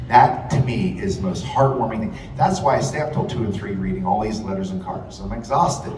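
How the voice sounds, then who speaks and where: A man's voice: fairly low pitch, brisk. A man giving an impassioned, repetitive, profane rally speech in a large event hall. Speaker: rally speaker and radio host. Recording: echoey hall, distant, loud crowd. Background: loud crowd and hall noise.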